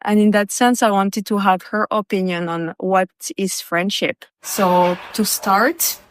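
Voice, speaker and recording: A woman hosting a casual conversational interview, speaking in a halting way. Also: mid-range voice, steady tone, slight background noise. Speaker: podcast host.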